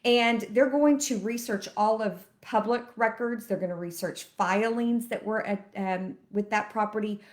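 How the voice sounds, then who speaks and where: A woman's voice: mid-range voice, unhurried, hardly any pauses. A middle-aged woman, a loan officer chatting to camera and explaining things step by step, a bit sniffly from allergies. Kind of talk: casual educational talk to camera.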